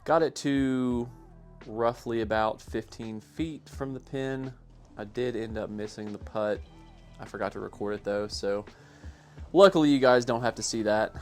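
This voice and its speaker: A man giving a casual, self-deprecating voice-over commentary on his own amateur sports highlights in a matter-of-fact way. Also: measured pace, faint music behind. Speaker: amateur disc golfer narrating own highlights.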